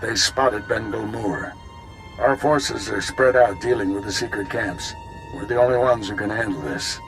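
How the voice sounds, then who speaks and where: A man plays a veteran Jedi master with a lowish voice and a terse, commanding delivery. Game music runs clearly behind him. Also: moderate pace, close to an everyday voice. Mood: grave, urgent. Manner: terse, authoritative. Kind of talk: video game cutscene acting.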